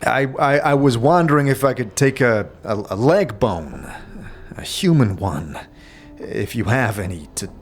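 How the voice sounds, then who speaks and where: Polite, stammering fantasy hero male actor, hesitant and wry, medium pitch, expressive melody. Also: a little slow. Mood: uneasy, wry. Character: nameless male wanderer, hesitant, polite and wryly squeamish. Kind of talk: fantasy audio drama acting.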